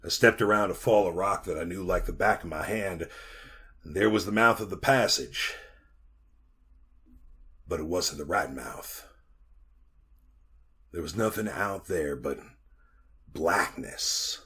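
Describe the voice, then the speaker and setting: An actor playing a haunted, bitter man who narrates a retro radio drama in a brooding, deliberate way with dramatic pauses. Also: low voice, sharp tone, breathy edge, exaggerated voice. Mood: ominous. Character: haunted, bitter man recounting being lost in a mine.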